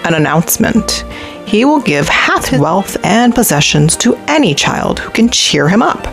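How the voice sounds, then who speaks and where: A woman's voice: mid-range voice, big pitch swings, moderate pace, gravelly, soft background music. A woman voicing a kindly, tired old man who muses and coaxes as he makes up stories in a children's storybook. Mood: musing, inventive. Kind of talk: children's storybook read-aloud.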